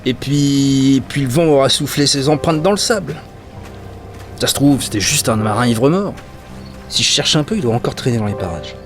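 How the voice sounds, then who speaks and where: A man acts out a weary dockworker in a fantasy radio play. His middle-pitched voice is anxious and halting, often repeating itself as he mutters. Music plays clearly underneath. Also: lively intonation, natural voice. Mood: troubled, puzzled. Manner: hesitant, stammering, muttering to himself. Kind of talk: audio drama acting.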